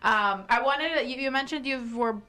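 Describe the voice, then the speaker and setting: A woman's voice: sits high, fairly quick, bright, forceful tone, clear, resonant tone. A young woman from Miami hosting a casual studio interview, speaking in a friendly, conversational way. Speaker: host. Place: small podcast studio. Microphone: desk mic on boom.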